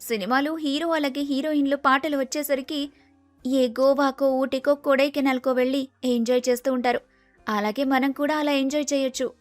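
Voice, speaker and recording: An excitable, vain young bride-to-be played by a woman in a storybook story. Her voice is very high, insistent and impatient, recorded dry with soft music underneath. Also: a little fast, no echo, lightly acted. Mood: enthusiastic. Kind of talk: acted storybook dialogue.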